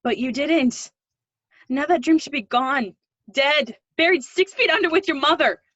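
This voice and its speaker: A woman's voice: high-pitched, measured pace, fairly bright tone, dry recording, muffled recording. A woman playing a cold, bitter acting teacher, harsh and disbelieving, in an amateur play readthrough. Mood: cold, accusing.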